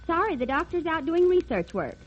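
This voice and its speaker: A woman's voice: very high voice, very animated, fairly quick, highs cut off, soft background music. A woman playing a sassy, wisecracking nurse and receptionist in a 1930s radio comedy. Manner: bright, sassy quips. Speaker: comic nurse.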